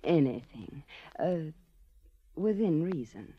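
An actress playing a cool, seductive, commanding crime boss in a 1940s radio drama, poised and teasing. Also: female voice, low voice, sing-song, takes it slowly, slightly rough, exaggerated voice. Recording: dull, lo-fi 1940s radio recording.

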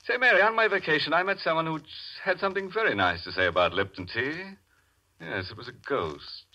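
An actor playing a gleefully morbid horror host, theatrical and sly in 1940s radio style. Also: male voice, a little high, lively intonation, a little fast, sharp tone, heavily acted. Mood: sly, playful. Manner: theatrical, sly, tongue-in-cheek. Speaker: host and announcer. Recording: dull vintage radio, organ-era music behind.

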